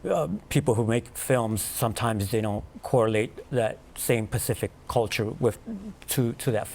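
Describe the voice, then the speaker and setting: A man film producer, a guest in a TV studio interview, explaining things haltingly with many "uh"s. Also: fairly low pitch, a little mellow, a little hiss or hum.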